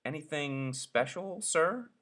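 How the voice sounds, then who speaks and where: A man's voice: fairly high pitch, expressive, takes it slowly, fairly bright tone, pitched above the performer's own voice. A man voicing a timid, deferential teacher who speaks hesitantly and pleadingly in a storybook reading. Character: timid, deferential male subordinate teacher, privately scathing.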